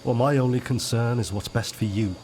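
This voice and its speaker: An actor playing an older, protective father who gently nags, in a naturalistic radio soap. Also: mid-range voice, fairly even intonation, fairly quick, close to an everyday voice. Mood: wry, concerned.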